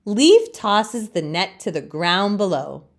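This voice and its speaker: A woman reading a children's storybook aloud as a storytime host, with expressive narration. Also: medium pitch, takes it slowly.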